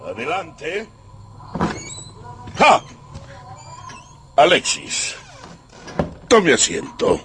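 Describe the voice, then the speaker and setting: A stern general, speaks slowly with emphatic swings of pitch. His manner is authoritative and reproving, in theatrical radio drama style. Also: huge pitch swings, pushed and bright, a little hiss or hum, full character voice. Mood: curt authority. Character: stern, lecturing general, head of family. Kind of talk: Spanish-language radio drama acting.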